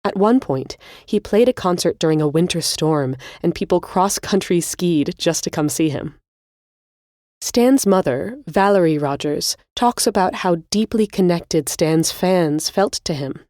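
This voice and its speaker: A woman narrates as a podcast host with a slightly low, softly mellow voice. Her delivery is scripted, measured and explanatory, like a spoken essay, captured close and dry. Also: animated, no room sound.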